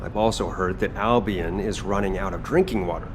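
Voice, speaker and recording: An actor playing a reluctant, casual chef fighter in a video game, informal and given to grumbling. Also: male voice, sits low, brisk, background noise, natural voice. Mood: easygoing.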